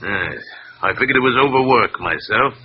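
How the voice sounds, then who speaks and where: A man's voice: medium pitch, lively intonation, moderate pace, natural voice. An actor playing a huge, demanding, worried businessman in an old radio drama, speaking in an imperious, irritable, formal way. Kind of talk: 1940s-50s American radio drama acting. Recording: dull, noisy vintage radio recording.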